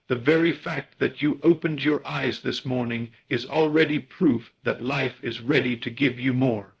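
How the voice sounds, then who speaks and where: A man delivering a scripted motivational guided meditation in a solemn, deliberate, oratorical manner. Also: mid-range voice, vocal fry, husky, dull audio.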